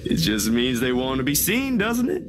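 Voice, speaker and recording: A man playing an easygoing, unfazed young photographer in a visual novel, with casual, relaxed phrasing. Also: medium pitch, normal speed, very noisy.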